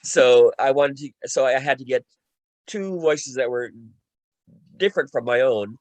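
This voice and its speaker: A man's voice: medium pitch, measured pace, a little mellow, no room sound. A man from the United States with a light tenor voice, chatting casually in a panel discussion about acting. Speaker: voice actor in the radio play.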